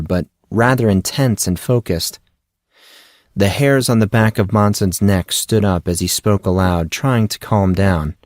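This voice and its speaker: A man's voice: lowish voice, no echo, studio quality. A man reading a fantasy story as scripted audiobook narration.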